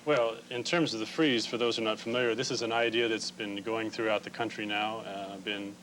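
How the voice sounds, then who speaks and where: An American man answering interview questions as an organization's representative, explaining things in a measured, careful way. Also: fairly low pitch, fairly even intonation, a bit bright. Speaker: Quaker relief organization representative, interview guest. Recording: noisy, humming old TV recording.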